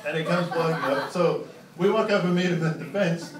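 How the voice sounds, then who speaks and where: A man's voice: a little high, fairly quick, crowd noise. A man on stage telling a casual, profane comic story and acting out the dialogue as he goes. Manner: acts out dialogue as he tells it. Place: live comedy show indoors. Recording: echoey live room, distant mic, faint noise.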